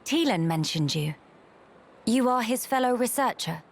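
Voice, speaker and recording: A woman's voice: a little high, animated, moderate pace. Poised, formal young noblewoman. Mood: composed. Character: dignified, earnest young noblewoman, composed and formal. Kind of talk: video game voice acting.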